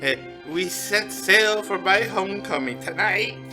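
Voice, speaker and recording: A man playing a hapless, earnest, apologetic husband in a comic noir radio drama, halting and stammering as he speaks. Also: sits high. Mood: proud, hesitant. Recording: music behind.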